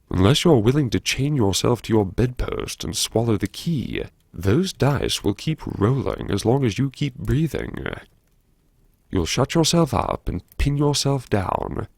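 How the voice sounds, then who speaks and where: An actor playing a wry, brooding academic who muses to himself in old-fashioned, theatrical, self-mocking phrases, as in an audiobook drama. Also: male voice, fairly low pitch, vocal fry, a little mellow, dry recording, studio quality, heavily acted. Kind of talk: first-person audiobook drama monologue, talking to himself.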